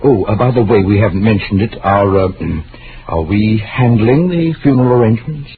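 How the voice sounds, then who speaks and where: A man's voice: lowish voice, normal speed, close to an everyday voice. An actor playing a fussy, unctuous middle-aged mortician in an old-time radio drama, hesitant and solicitous like a salesman. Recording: tinny, noisy old radio recording.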